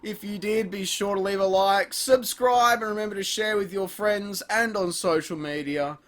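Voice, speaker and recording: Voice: medium pitch, moderate pace. A reviewer giving scripted comedic narration in a sarcastic, exasperated, sweary and theatrical manner. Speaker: YouTube video reviewer.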